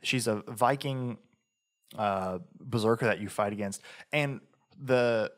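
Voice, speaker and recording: A man's voice: lowish voice, slow. A man co-hosting a podcast, reviewing a game in casual chat, talking in a rambling way with lots of fillers and restarts. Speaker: podcast co-host.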